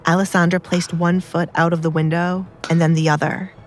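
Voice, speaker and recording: Female audiobook narrator, lowish pitch, scripted storytelling, faint music behind. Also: flat delivery, a little fast.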